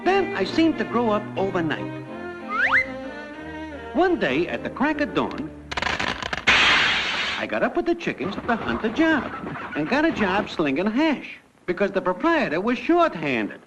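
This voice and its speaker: A man's voice: high voice, huge pitch swings, measured pace, long pauses, exaggerated voice. An American actor playing a brash young newcomer to the afterlife, breezily telling his life story in slang. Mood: breezy. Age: young adult. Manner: breezy, wisecracking, packed with idioms. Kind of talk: classic cartoon comic narration. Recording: dull lo-fi cartoon soundtrack, loud music.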